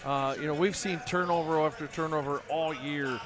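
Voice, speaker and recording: A man hosting a local high school basketball broadcast, chatty and casual with many fillers. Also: steady tone, moderate pace. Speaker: play-by-play broadcaster.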